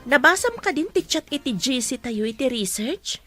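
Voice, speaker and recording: A woman's voice: sits high, big pitch swings, normal speed, music in the background. A woman playing an earnest young college student in a radio drama. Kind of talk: Ilocano radio drama acting.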